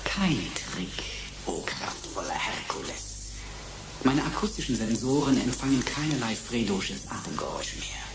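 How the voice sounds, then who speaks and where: A man's voice: medium pitch, vocal fry, echoey room, noisy background. A man playing a sarcastic computer sidekick who talks in pompous mock-courtly flourishes in a German sci-fi radio play. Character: mocking, pompous robotic computer sidekick of a detective.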